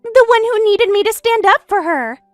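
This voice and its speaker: A catty young home-wrecker with a very high, bouncy voice that swoops up and down as she taunts and brags, played by a woman. The sound is dry and close, and faint music plays under it. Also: animated, normal speed, dry recording. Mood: taunting. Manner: taunting, boastful, indignant. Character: smug, catty young woman who stole her best friend's husband. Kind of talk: voiced story drama.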